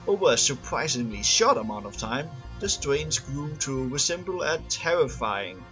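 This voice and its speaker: A man with a mid-pitched voice reads lore aloud as narration, with music playing behind him. His reading is a little halting, with words caught and repeated like an amateur narrator's. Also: sing-song, pushed and bright, highs cut off.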